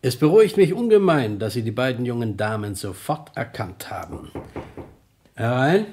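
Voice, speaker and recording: An actor playing a shrewd, self-assured veteran detective, dry and politely probing, in an old German radio crime drama. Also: male voice, mid-range voice, big pitch swings, moderate pace, darkish tone, a little hiss or hum, lightly acted. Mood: wry, assured.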